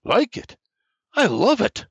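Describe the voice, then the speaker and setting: A man voicing a loud, excitable grown son. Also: high-pitched, normal speed, dry recording, voice pushed up, exaggerated voice. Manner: roaring, excited. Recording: slightly muffled dry recording.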